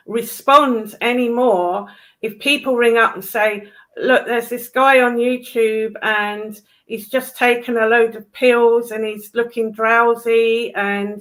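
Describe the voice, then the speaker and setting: A woman's voice: on the high side, fairly even intonation, slow, fairly bright tone, rough recording. A woman explaining things in a casual discussion, emphatically repeating her points for stress.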